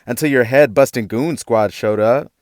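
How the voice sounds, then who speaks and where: A man's voice: medium pitch, moderate pace, darkish tone, no room sound, studio quality, lightly acted. A man playing a defiant eighteen-year-old activist in a radio drama, sarcastic and argumentative. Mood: defiant, accusing.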